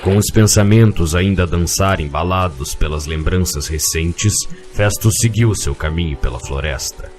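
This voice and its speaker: A man with a Brazilian accent narrating a scripted storybook tale. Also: deep voice. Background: music with guitar.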